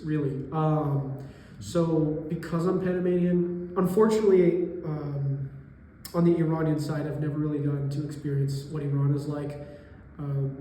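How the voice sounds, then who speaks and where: A young man in his twenties, a college student from New York, answering interview questions thoughtfully and pausing to find his words. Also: fairly high pitch, warm tone, a little hiss or hum. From New York area, US. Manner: thoughtful, pauses to search for words. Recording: echoey room with long reverb.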